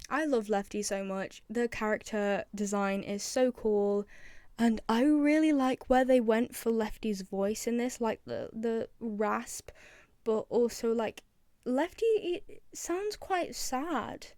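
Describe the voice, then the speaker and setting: A woman's voice: fairly high pitch, measured pace, a little mellow, clear, resonant tone, dry recording, studio quality. A young woman giving casual, rambling commentary as a video creator, with a self-deprecating manner.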